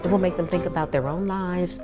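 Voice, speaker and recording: Low-pitched woman actor with music behind. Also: fairly even intonation, fairly quick. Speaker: stage actor. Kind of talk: promotional interview about a play. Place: indoors in a theatre.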